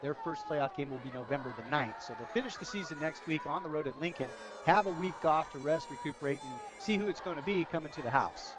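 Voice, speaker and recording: A man's voice: on the high side, quick pace, poor audio. An American man giving chatty, informal color commentary during a live radio broadcast of a football game. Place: outdoors at a high school football game. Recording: outdoor stadium broadcast with crowd noise. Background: crowd at a stadium.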